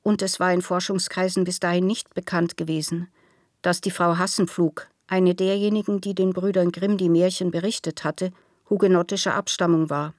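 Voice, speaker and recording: Woman, lower voice, literary radio play narration, very dry studio sound. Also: steady tone, moderate pace, a little mellow, no room sound.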